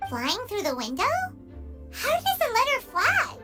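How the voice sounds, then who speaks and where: A woman voicing a tiny, cheerful, chatty anime game sidekick with a chirpy, childlike, expressive delivery. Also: very high-pitched, unhurried, music in the background, exaggerated voice. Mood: cheeky.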